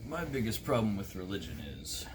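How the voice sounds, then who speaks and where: A man playing a dark shock-rock star who hosts a talk show and asks deliberate, probing questions. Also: lowish voice, sing-song, background noise. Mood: measured, curious.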